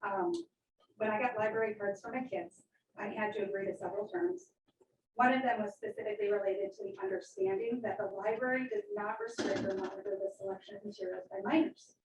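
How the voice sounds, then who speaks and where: A woman's voice: medium pitch, brisk. A woman makes a firm, argumentative public comment as a parent. Her voice carries from some distance in an echoey room, with faint hiss and small clicks. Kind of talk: prepared public comment at a meeting. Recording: echoey room, far from the mic, faint noise.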